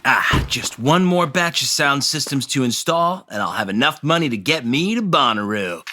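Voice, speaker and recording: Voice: medium pitch, big pitch swings, normal speed, sharp tone. A performer in a comic audio play playing a hapless, melodramatic nerdy young guy. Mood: content. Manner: melodramatic, stammering. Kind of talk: audio play comedy acting.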